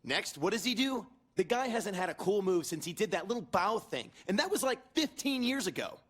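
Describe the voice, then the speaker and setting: A man playing a cocky, sarcastic wrestler in a video game, trash-talking in a husky voice. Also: high-pitched, very animated, brisk, a bit bright, heavily acted. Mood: mocking, smug. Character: cocky, sarcastic young male wrestler heckling his rivals.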